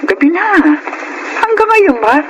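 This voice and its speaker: A middle-aged Filipina mother in a radio drama, protective and anxious for her child. Her pitch moves in lively sweeps, with clear noise behind her. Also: measured pace, heavily acted. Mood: scolding, concerned. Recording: noisy radio drama audio.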